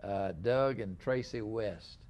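An older man hosting a relaxed studio interview, talking in a rambling, halting way with many restarts. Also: fairly low pitch. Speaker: host. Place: small living-room studio set.

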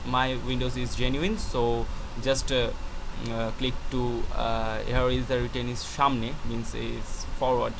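Halting Bengali-accented man, hissy recording. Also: mid-range voice. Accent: Bengali-accented English. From West Bengal, India. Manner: halting, frequent "uh" and "just a minute", broken grammar. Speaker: tutorial maker. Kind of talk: screen-recorded software tutorial.

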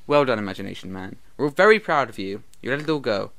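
Man acting as earnest male group facilitator, quick speech, lively intonation, patient, encouraging, comedy sketch. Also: medium pitch, vocal fry. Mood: gently supportive. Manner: encouraging, soothing, placating.

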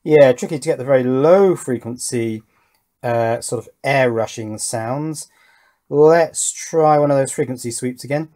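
A man's voice: medium pitch, unhurried, warm tone. Man thinking aloud at a workbench. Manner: thinking aloud, tentative and musing. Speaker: host of an electronics build channel. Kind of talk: casual hands-on demonstration commentary. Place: indoor workbench.

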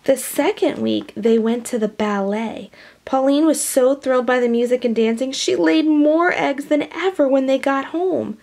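High-voiced woman reading to young children. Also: moderate pace. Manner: animated, with hushed whispered asides. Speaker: storybook reader. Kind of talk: expressive read-aloud for young children.

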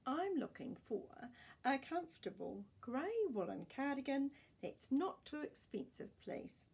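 A woman's voice: high voice, big pitch swings, unhurried, vocal fry, a little mellow, voice pushed up, full character voice. A woman voicing a polite, particular old grandpa in a storybook, with a weary, plaintive manner. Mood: polite, hopeful.

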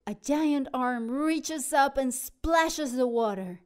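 A woman narrating a children's storybook aloud in an expressive, dramatic way. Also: sits high, slow. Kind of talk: animated children's read-aloud.

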